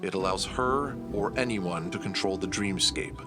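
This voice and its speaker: A cunning villain played by a man with a very low voice, forced into a reluctant alliance. He speaks in measured, formal sentences, proud and condescending, with quiet menace, as in an audio drama. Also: over loud music, close to an everyday voice. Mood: measured, superior.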